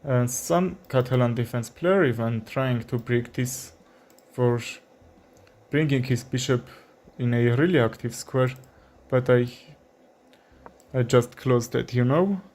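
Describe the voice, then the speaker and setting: A young man with an Armenian accent commentating his own chess game, thinking aloud with frequent fillers and pauses. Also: mid-range voice, a little mellow. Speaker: chess streamer. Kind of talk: live commentary while playing chess.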